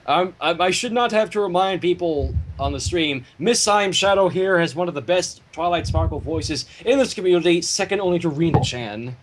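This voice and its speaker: A man reading a story theatrically on a livestream, breaking off into casual banter, with a husky voice. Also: high voice, animated, normal speed, few pauses, slight background noise, poor audio. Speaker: fan fiction reader and livestream host.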